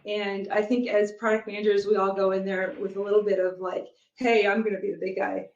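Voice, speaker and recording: A woman's voice: mid-range voice, flat delivery, a little mellow. Woman with a muffled, roomy echo. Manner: thoughtful, many fillers, measured. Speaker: guest, product manager and youth soccer coach. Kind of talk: casual interview conversation. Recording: muffled echoey remote recording.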